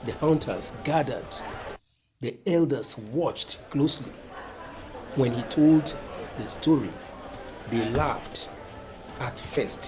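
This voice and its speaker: A man narrating a folktale in a dramatic, deliberate way, with pauses between short phrases. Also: medium pitch, noisy background. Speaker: storyteller.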